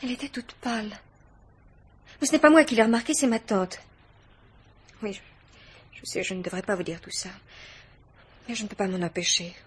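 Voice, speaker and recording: A woman's voice: medium pitch, fairly even intonation, moderate pace, long pauses, husky, close to an everyday voice. A woman acting a troubled young fiancée in a French radio mystery play, confiding in an earnest, dramatic way. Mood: anguished, confiding.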